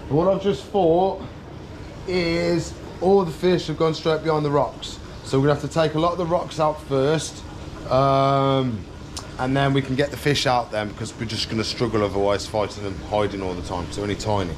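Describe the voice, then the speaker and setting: A man's voice: mid-range voice, fairly even intonation, moderate pace, a little hiss or hum. A man in his thirties chatting casually and informally as he explains what he's doing in an unscripted vlog. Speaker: pet shop vlogger. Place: indoor aquarium shop.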